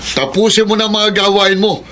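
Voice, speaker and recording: A cruel stepfather in a radio drama, with a bright, forceful voice. He sneers, taunts and gives orders, greedy and contemptuous, over faint background noise. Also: high-pitched, animated, brisk. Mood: mocking, bossy. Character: cruel, mocking, money-minded stepfather of a household.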